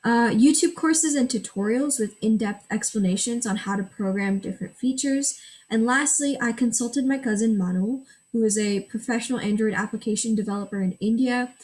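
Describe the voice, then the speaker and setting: A woman's voice: a little high, moderate pace, a little mellow, poor audio. A teenage girl whose first language is Gujarati, reading a prepared school project presentation and walking through screenshots step by step. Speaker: student presenter.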